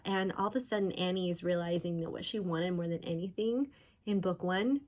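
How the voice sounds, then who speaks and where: A woman with a medium-pitched voice speaks as an author giving an informal book talk. She tells things in a chatty, animated storyteller's manner, as if chatting to readers. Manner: animated storyteller, voicing characters' thoughts in quotes. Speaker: author discussing own books.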